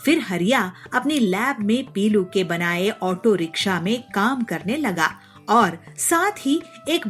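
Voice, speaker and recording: A woman narrating a scripted children's story as the storyteller. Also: mid-range voice.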